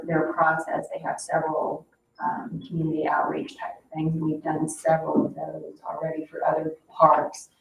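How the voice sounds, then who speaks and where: Even-toned woman, distant in echoey room. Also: low-pitched. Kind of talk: informal meeting update. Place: indoor public meeting room. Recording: echoey room, distant mic, faint noise.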